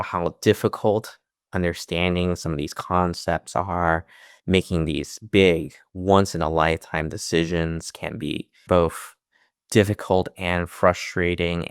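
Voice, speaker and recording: A man's voice: low voice, smooth, no room sound, clean studio sound. A man in his thirties, raised in America speaking Chinese first at home, answering interview questions earnestly with frequent restarts. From United States. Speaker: financial advisor, interview guest.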